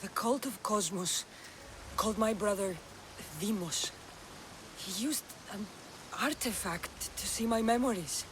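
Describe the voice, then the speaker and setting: A woman's voice: mid-range voice, unhurried, pauses often, noisy background, lightly acted. An actress playing a fierce, stubborn young Greek mercenary woman in a video game cutscene, speaking tersely and intensely. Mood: driven, tense.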